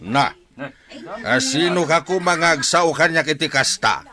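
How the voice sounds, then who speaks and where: Man playing a quarrelsome village dad, Ilocano accent, highish, piercing and tense, radio drama. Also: lively intonation, unhurried, bright, forceful tone. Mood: belligerent. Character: stubborn, quarrelsome gambling father of a poor household.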